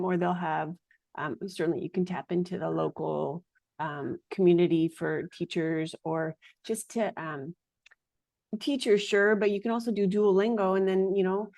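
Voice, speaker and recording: A woman's voice: mid-range voice, darkish tone, dry recording. A woman podcast host who is a native Hungarian speaker, talking in a casual conversation and rambling with frequent hesitations.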